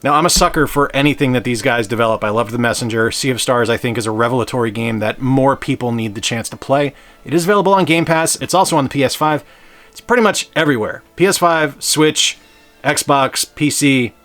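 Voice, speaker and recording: A man's voice: medium pitch, fairly quick, fairly bright tone, soft background music. A man hosting a game channel, talking about games in an upbeat, enthusiastic way. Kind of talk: casual game review talk.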